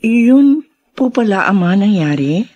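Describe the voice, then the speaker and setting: A woman's voice: medium pitch, sing-song, measured pace, a little mellow, no echo. An actress playing a timid, deferential female helper in a radio drama, hesitant and respectful. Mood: nervous, deferential.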